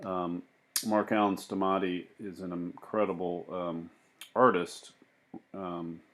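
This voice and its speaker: A man reading a picture book aloud in an expressive, deliberate way, with casual asides. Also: low-pitched. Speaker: children's book reader.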